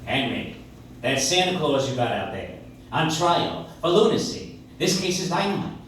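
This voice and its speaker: A hard-nosed political crony played by a man argues in punchy, sardonic lines, his voice on the high side. It is old-time radio acting, heard from far off in a very echoey room. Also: big pitch swings, moderate pace, a bit bright, slight background noise. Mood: sardonic, urgent. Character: wisecracking, hard-nosed political fixer, blunt male crony. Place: coffee shop stage, recorded from the audience. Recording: echoey live stage, distant audience recorder.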